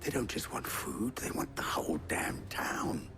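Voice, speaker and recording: A man's voice: measured pace, music in the background, close to an everyday voice. An actor playing a skeptical, plain-spoken man in a video game, with a dry, wary manner. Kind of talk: video game cutscene acting.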